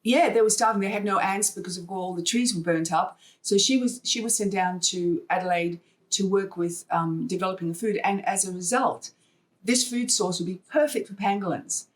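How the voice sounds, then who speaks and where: A woman from Hong Kong speaks as an interview guest, at a middle pitch. She tells her stories in a chatty, conversational way. She often slips into quoted bits of dialogue as she goes. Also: moderate pace. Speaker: podcast guest, artist and wildlife fundraiser.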